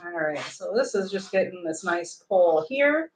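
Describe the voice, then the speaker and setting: A woman hosting a casual painting stream, calmly explaining her technique as she works. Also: fairly low pitch, a little slow, slight background noise. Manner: relaxed, explains technique while working, hums. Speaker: painting livestream host.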